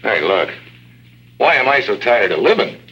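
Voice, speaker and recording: A man's voice: lowish voice, very animated, moderate pace, bright, forceful tone, breathy edge, exaggerated voice. A man acting a wry, tough American secret agent in a 1950s radio drama, with sardonic, wisecracking delivery. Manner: sardonic, hard-boiled, wisecracking. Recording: dull old radio recording.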